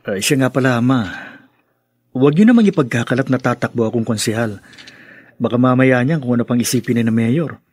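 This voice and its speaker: Mild, conciliatory young Filipino family man played by a male actor, radio drama, slightly hesitant husky voice, dry recording. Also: medium pitch, brisk, long pauses, darkish tone, no echo, natural voice. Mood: sheepish, reluctant. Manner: hesitant, placating. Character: mild, conciliatory husband and dutiful son.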